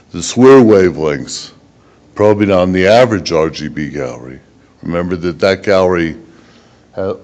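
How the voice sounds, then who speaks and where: A man giving a technical conference presentation as a researcher, in a measured, halting way with occasional stumbles. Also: sits low, darkish tone.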